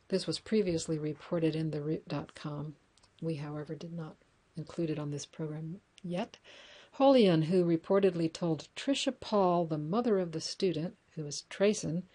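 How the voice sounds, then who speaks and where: Lowish-voiced woman reading news articles. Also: warm tone. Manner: corrects her own reading aloud; wry asides. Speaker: news reader.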